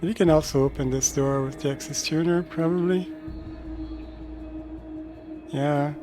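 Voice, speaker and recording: A man in his thirties doing casual, low-key live commentary on a game, thinking aloud as he plays. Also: steady tone, measured pace, music in the background. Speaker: streamer and game developer.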